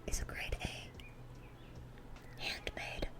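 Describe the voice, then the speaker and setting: A woman's voice: slow, close to an everyday voice. A woman playing a dorky, affectionate young demon girl in a whispered ASMR roleplay, rambling and repeating herself eagerly. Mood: proud, excited.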